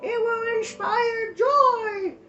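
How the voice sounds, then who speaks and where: Woman playing a very high, slow, grandiose squirrel king in a storybook, echoey lo-fi room. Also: fairly even intonation, bright, forceful tone, soft background music, heavily acted. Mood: pompous. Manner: grandiose, declaiming. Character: pompous male squirrel king giving a speech. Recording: echoey room, dull lo-fi sound.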